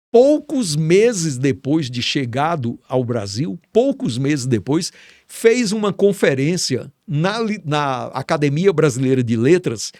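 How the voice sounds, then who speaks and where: Middle-aged guy from ceará, excited storyteller, bit high-pitched, dry sound. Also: no echo. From Ceará, Brazil. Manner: enthusiastic, admiring storyteller. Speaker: writer and guest. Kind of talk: storytelling in a casual interview.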